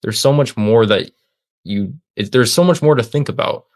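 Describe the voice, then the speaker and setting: A man's voice: medium pitch, normal speed. A young man of about twenty, a guest in a casual interview, talking in an upbeat, rambling way with many fillers and restarts. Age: young adult, about 20. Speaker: interview guest, student and online seller.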